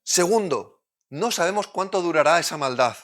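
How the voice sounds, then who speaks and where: A man from Madrid with a Madrid Spanish accent, preaching a sermon, moving between loud urgent points and quiet, close asides. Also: on the high side, lively intonation, moderate pace. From Spain.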